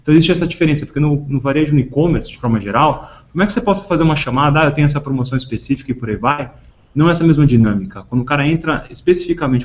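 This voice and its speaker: A man's voice: medium pitch, a little mellow. Man, Brazilian accent, business panelist, hesitant explanatory talk, muffled lo-fi call audio. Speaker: panelist answering questions. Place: conference call. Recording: dull lo-fi conference call audio.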